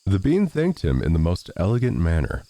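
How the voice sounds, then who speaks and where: A man reading a scripted fairy tale as an expressive storyteller. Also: sits low, moderate pace.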